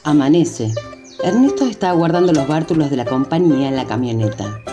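Low-voiced woman narrating radio drama. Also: expressive, brisk. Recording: music behind the voice.

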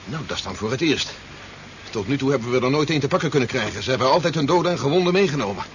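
An actor playing a brisk, fatherly army general in a Dutch science fiction radio drama, authoritative and measured. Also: mid-range voice, lively intonation, lightly acted. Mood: urgent, intrigued. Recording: dull, noisy 1970s radio recording.

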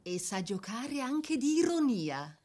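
A woman narrating a scripted literary radio drama in a soft, measured, theatrical way. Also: a little high, sing-song, slight background noise.

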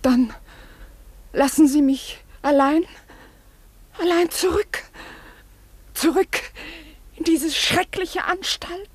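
An actress in a radio play voicing an anxious, deferential female companion, breathy and hesitant. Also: high-pitched, slow, long pauses, no echo. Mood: alarmed, distressed. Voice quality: breathy, often near whispering. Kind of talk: German radio play acting.

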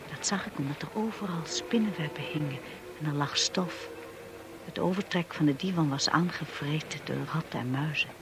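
An actress playing a timid, anxious young wife in a Dutch radio drama, hesitant and devoted, also narrating softly in the first person. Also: female voice, sits low. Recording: noisy old radio play recording.

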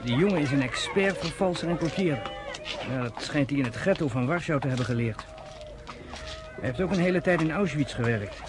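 A man's voice: on the high side, expressive, fairly quick, close to an everyday voice. An actor playing a wry, plain-spoken man in a radio drama.

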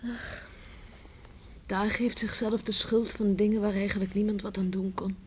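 An actress in a radio play playing a hopeful young farmer's daughter, eager and earnest in manner. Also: female voice, medium pitch, level tone, moderate pace, darkish tone, natural voice. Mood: concerned. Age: young adult. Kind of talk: Dutch radio play acting. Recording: noisy old radio play recording.

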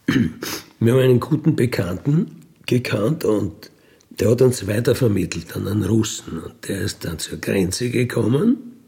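A man's voice: fairly low pitch, vocal fry, mellow. A man of fifty-one with an Austrian accent tells his own story in a colloquial, matter-of-fact way, often adding a questioning tag to his statements. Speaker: career criminal telling his life story. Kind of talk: unscripted personal storytelling.

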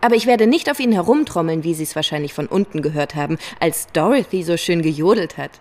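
An actress playing a brash, talkative masseuse in a radio play, breezy and bossy, with playful, flirtatious teasing. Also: female voice, fairly low pitch, lively intonation, fast talker, darkish tone, natural voice. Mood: brisk, bossy.

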